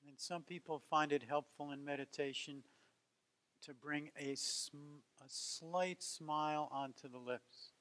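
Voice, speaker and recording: A man leading a guided meditation as a teacher, speaking gently in unhurried phrases with long pauses between them. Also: fairly high pitch, fairly even intonation.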